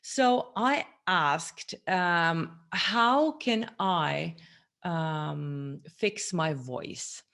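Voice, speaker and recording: A woman's voice: fairly low pitch, very slow, vocal fry, a bit bright. A woman in her forties talking earnestly to camera in a personal teaching monologue, recorded seated in a small room at home. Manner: earnest, gestures a lot with her hands. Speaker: vlogger.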